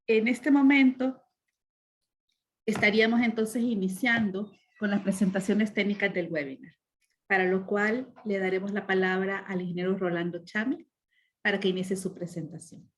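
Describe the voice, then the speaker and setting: A woman's voice: medium pitch, steady tone, normal speed, a little hiss or hum. A woman moderating a webinar, formal and courteous as she hands the floor to a speaker. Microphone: video call.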